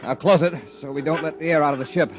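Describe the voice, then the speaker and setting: An actor playing a resolute, quick-thinking space commander, earnest and commanding, in an old-time radio serial. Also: male voice, on the high side, steady tone, natural voice. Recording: dull, noisy old radio recording.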